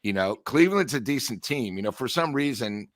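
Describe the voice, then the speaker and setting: Blunt male co-host, mid-pitched, dry and close. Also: animated, a little fast, dry recording. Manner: blunt, peppers speech with "you know". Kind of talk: casual sports analysis.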